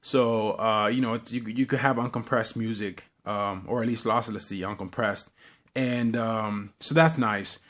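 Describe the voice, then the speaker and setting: A man talking casually and unscripted as a vlogger, in a halting, rambling way with repeated words. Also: lowish voice, fairly even intonation, fairly bright tone. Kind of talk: casual unscripted show-and-tell.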